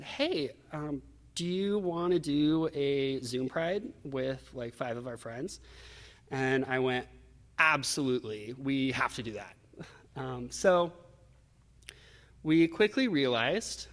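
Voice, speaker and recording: Male interview guest, mid-range voice, sincere and thoughtful storytelling, recorded with echoing hall reverb. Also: mid-range voice.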